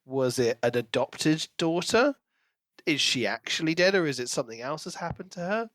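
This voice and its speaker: A man's voice: fairly high pitch, expressive, moderate pace, a bit bright, no room sound, clean studio sound. A man co-hosting a podcast, chatting casually about books in a questioning, conversational way. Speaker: podcast co-host. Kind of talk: casual book discussion.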